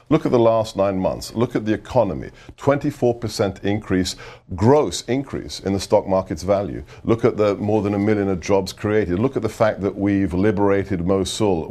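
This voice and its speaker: A middle-aged man with a very deep, low voice, talking as a guest on a political interview show. He presses his points hard and hammers home repeated phrases. His tone stays insistent throughout. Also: darkish tone. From United Kingdom. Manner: emphatic, insistent, repeats for effect. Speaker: guest, former government adviser.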